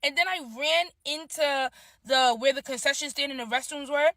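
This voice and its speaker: A woman chatting in a slangy, animated way as she recaps a concert in a casual vlog. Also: sits high, moderate pace, no room sound. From Nigerian heritage.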